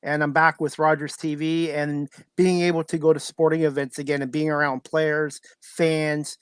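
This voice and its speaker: A man's voice: on the high side, little pitch movement, moderate pace, clear, resonant tone, dry recording, clean studio sound. A man hosting a casual talk show interview, chatty and agreeable with his guest. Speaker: podcast host, interviewer.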